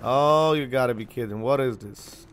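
A man's voice: medium pitch, normal speed, a little hiss or hum. Young polish guy, first language polish, rambly gameplay chatter on a headset mic, lots of ums. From Poland. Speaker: gaming channel host. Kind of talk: casual game commentary.

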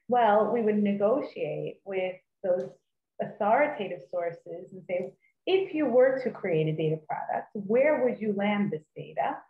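A woman in her forties explaining a work approach on a video call, earnest and given to repeating points for emphasis. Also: mid-range voice. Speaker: data leader panelist. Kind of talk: conference panel talk on video call. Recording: tinny, echoey video call audio.

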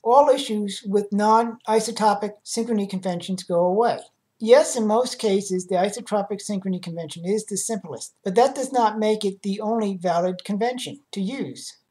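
A woman narrating a scripted slide lecture in a formal, measured reading manner. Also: mid-range voice, a little mellow, poor audio.

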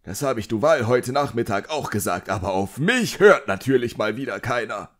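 An irascible, self-important middle-aged magician played by a male narrator in an audiobook. He pitches his voice well above a relaxed narration and barks out bitter, emphatic complaints. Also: moderate pace, lightly acted. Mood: indignant ranting. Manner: barking, emphatic, indignant. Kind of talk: audiobook character dialogue.

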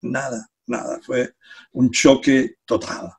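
An older Catalan man answers interview questions over a webcam from his home study. His voice is slightly husky and sits at an ordinary pitch. He speaks in a relaxed, halting way and repeats words as he searches for them. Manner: hesitant, repeats words, laughs between phrases. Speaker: guest, psychology professor. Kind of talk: casual conversational interview. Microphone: video call webcam.

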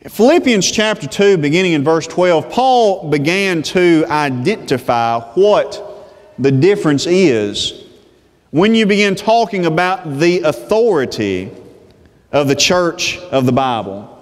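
Male preacher, thirties, high voice, unhurried sermon delivery, lapel mic in a reverberant sanctuary. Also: lively intonation. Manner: slow, deliberate pulpit delivery. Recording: long church reverb.

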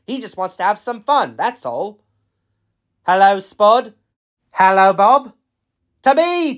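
A man's voice: sits high, a bit bright, exaggerated voice. A man voicing a friendly, easygoing working tractor from a children's cartoon.

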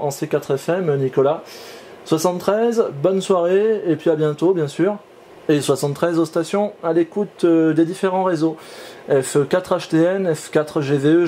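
A man's voice: fairly high pitch, warm tone, slight background noise. A man, an amateur radio operator explaining things hesitantly in an informal radio exchange. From France. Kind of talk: informal two-way ham radio exchange, demonstration. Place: indoor home radio shack.

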